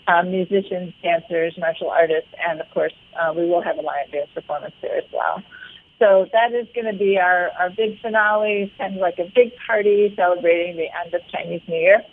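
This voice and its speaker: Woman interview guest on a phone-like line. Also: fairly low pitch. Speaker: arts organisation leader, interview guest. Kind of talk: conversational explanatory radio interview. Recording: narrow phone-like radio sound.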